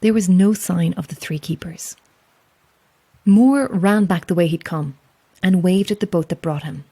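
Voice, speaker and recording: A woman playing a solitary, calm lighthouse keeper with an Irish accent in a horror audio drama, speaking in a measured, understated way. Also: on the low side, husky. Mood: hushed, ominous. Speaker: lighthouse keeper and narrator of a tale.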